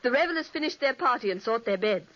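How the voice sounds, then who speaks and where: A woman's voice: on the high side, lively intonation, fast, pushed and bright, muffled recording. An actress playing a young woman telling a ghost legend dramatically in an old radio play. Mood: spooky. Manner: dramatic storytelling. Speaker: storytelling sister. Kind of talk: 1940s radio acting.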